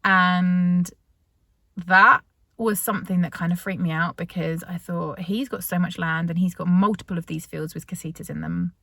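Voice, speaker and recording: A woman's voice: on the low side, steady tone, moderate pace, bright, forceful tone, no echo. A British woman vlogger telling a story in a casual, chatty way, full of fillers and restarts. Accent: British English.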